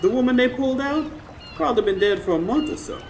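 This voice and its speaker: An actor playing a sassy, skeptical Southern friend in a radio drama, blunt, wry and teasing. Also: male voice, very high-pitched, lively intonation, quick pace. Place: stage before a live studio audience. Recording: live radio show with background noise.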